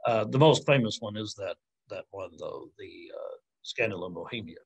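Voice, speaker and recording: A man's voice: on the low side, moderate pace, gravelly, slightly rough. A man in his forties speaking as an academic lecturer in an informal question-and-answer talk on a video call, hesitantly thinking aloud and often rephrasing mid-sentence.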